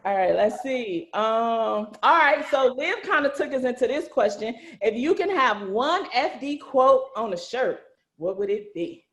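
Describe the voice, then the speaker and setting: A woman's voice: on the high side, expressive, a little slow. A woman hosting a casual group video-call chat, talking in a chatty, slangy way with frequent laughter. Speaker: host and cast member of an audio series.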